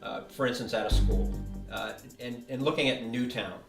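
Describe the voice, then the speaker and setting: A man's voice: mid-range voice, fairly even intonation, normal speed, gravelly. A man speaking as an interviewer, posing questions in a conversational interview style. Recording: roomy echo, distant mic, faint music.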